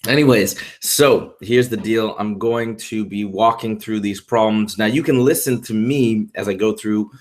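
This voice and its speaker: A man with a hoarse voice, tutoring math on a live stream and working problems out loud in an upbeat, chatty way. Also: lowish voice, unhurried, hardly any pauses. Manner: upbeat, chatty, thinks aloud. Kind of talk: live-streamed test walkthrough. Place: indoors at a whiteboard and screen share.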